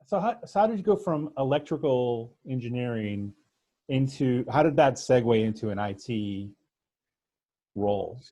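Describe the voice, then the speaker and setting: A man hosting an interview, introducing his guest and asking questions in measured, slightly formal phrasing. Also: medium pitch, lively intonation, warm tone. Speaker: interview host.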